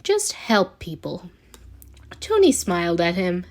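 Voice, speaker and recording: Slow, lilting woman reading a story. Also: on the low side, sing-song, a little mellow. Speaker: amateur fan fiction reader. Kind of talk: scripted story narration, read aloud.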